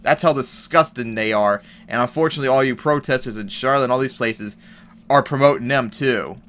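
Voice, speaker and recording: A man's voice: mid-range voice, brisk, fairly bright tone. A man giving heated, profane commentary on an article and news clips, reading passages aloud and reacting. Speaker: commentary vlogger.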